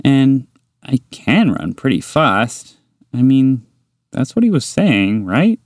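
A man voicing a big, gentle, slow-witted moose in a bedtime story, hesitant and bashful. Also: medium pitch, sing-song, no room sound, clean studio sound, voice pushed up. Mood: unsure. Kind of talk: storybook character voice.

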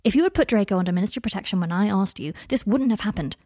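A woman's voice: mid-range voice, lively intonation, very fast, warm tone, no room sound, clean studio sound, natural voice. A woman voicing a blunt, combative, protective male wizard in an audiobook, with clipped delivery. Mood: defiant. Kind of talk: audiobook character voice.

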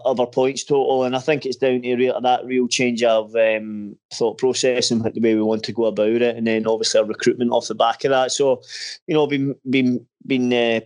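A Scottish man in his thirties, a football coach, answering interview questions in a casual, hesitant way with lots of restarts and fillers. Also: mid-range voice. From Scotland.

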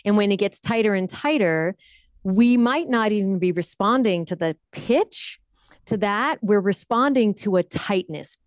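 A woman explaining how breath shapes the voice in an interview, demonstrating with sudden high and low pitches. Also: animated, measured pace, dry recording, clean studio sound. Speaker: voice coach.

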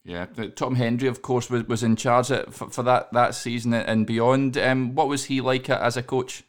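Male interviewer, medium pitch, casual and halting, feeling his way through each question. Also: flat delivery. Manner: hesitant, halting phrasing.

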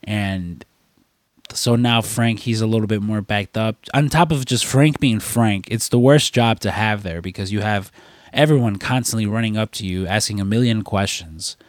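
A young man with a fairly low voice, congested from a lingering cold. He rambles through casual podcast chat with constant fillers and casual swearing. The sound is dry and close to the mic. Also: moderate pace, dry recording. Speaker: podcast co-host.

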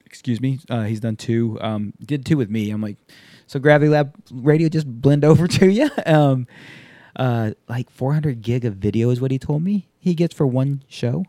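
A man's voice: mid-range voice, normal speed, no room sound. Laid-back, profane man in his thirties. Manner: loose, profane, animated storyteller acting out quotes. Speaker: podcast host. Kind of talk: casual chat.

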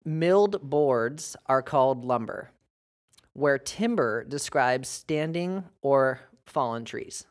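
A man's voice: mid-range voice, expressive, slow pace. An American man in his young adult years co-hosting a podcast, casual and self-deprecating with lots of fillers and laughs. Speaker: podcast co-host. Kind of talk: casual chat.

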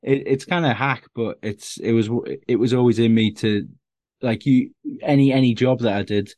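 A man in his thirties from Liverpool, a stand-up comedian with a softened Scouse accent, chatting in an interview in a self-deprecating, rambling way with lots of restarts. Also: mid-range voice, fairly even intonation, normal speed, no room sound. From Liverpool, England. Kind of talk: casual interview chat.

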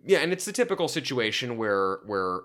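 A man's voice: mid-range voice, sing-song, fairly quick, fairly bright tone. A man co-hosting a podcast in casual chat, jokey and playful, slipping into silly voices. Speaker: podcast co-host.

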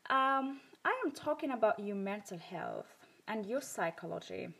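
Woman podcast host, Moroccan accent, mid-pitched and very animated; earnest, emphatic personal monologue. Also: moderate pace, creaky, slightly rough. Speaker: self-improvement podcast host.

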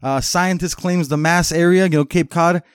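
A man's voice: on the high side, steady tone, normal speed, clear, resonant tone, no echo, studio quality. A young man from Massachusetts with a light Boston accent, co-hosting a podcast with crude, joking banter and reading news items loosely. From Massachusetts, North Shore. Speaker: podcast co-host.

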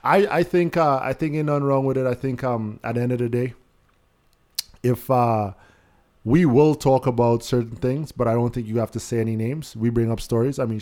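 A man's voice: mid-range voice, dark tone. A man with a Trinidadian accent, co-hosting a casual podcast and telling stories in a laid-back way. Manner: laid-back, storytelling, laughs often. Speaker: podcast co-host.

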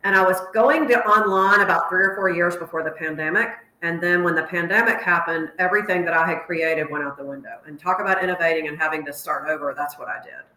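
A woman's voice: fairly low pitch, fast, hardly any pauses, bright, forceful tone, roomy sound, rough recording. A middle-aged woman, a fitness business coach, answering interview questions energetically over a video call. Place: open space by a pool in Florida.

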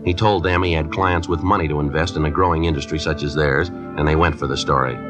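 An American actor playing a terse, businesslike police detective in a 1950s radio drama, with clipped, matter-of-fact delivery. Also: male voice, very deep voice, fairly even intonation, quick pace. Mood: flat, matter-of-fact. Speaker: detective and narrator. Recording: 1950s radio recording with music.

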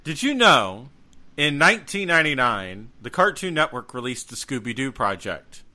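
A man's voice: mid-range voice, big pitch swings, moderate pace, bright, forceful tone. A middle-aged man co-hosting a radio show in casual, bantering talk.

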